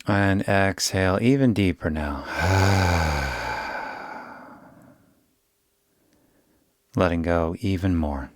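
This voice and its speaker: A man guiding a yoga nidra meditation in a soothing, unhurried way, giving gentle instructions with long pauses. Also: low-pitched, dry recording, clean studio sound. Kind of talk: guided meditation.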